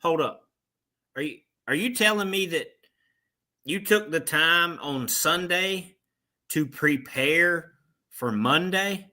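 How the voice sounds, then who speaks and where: A man's voice: on the high side, takes it slowly, pauses often, bright, forceful tone. A man co-hosting a casual morning talk show, making short, emphatic remarks. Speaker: co-host.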